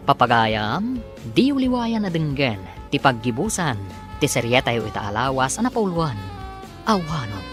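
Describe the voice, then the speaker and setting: A man announces a radio drama with a Filipino accent. His delivery is dramatic and widely varied in pitch, with music clearly playing behind him.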